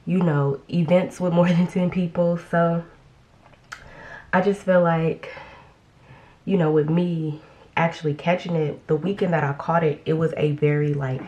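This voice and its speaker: A young woman with a low, deep voice talks through a casual get-ready-with-me update at home. She sounds a little congested from a recent illness and rambles on with frequent ums and restarts. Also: fairly even intonation, unhurried, a little mellow, muffled recording. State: slightly congested after illness. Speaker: beauty vlogger.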